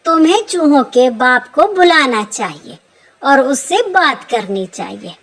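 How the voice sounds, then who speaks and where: A woman's voice: high voice, lively intonation, a little slow, a bit bright, voice pushed up, exaggerated voice. A woman voicing an earnest hen who gives advice in a children's cartoon story. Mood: earnest, urging. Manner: earnest, advising.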